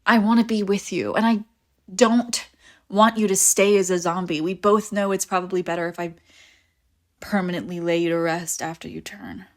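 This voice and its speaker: A woman's voice: on the low side, steady tone, normal speed, close to an everyday voice. A woman playing a clingy, devoted young female friend in a zombie apocalypse audio roleplay, with an emotional, intimate delivery. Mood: desperate pleading. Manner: emotional, pleading, swinging between insistent and hushed. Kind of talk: scripted audio roleplay acting, intimate and conversational.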